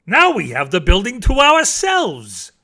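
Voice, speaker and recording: A man's voice: sits high, wildly swooping pitch, unhurried, sharp tone, exaggerated voice. A man voicing a boisterous, gleefully selfish eldest brother from a storybook, exclaiming emphatically. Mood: loud glee.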